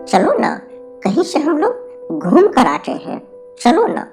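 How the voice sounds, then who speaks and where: A woman's voice: sits high, huge pitch swings, takes it slowly, darkish tone, full character voice. A woman voicing an eager, adventurous little male dwarf in a cartoon, coaxing and pleading in colloquial Bihari-accented Hindi. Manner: colloquial, pleading, repetitive urging. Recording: faint background music.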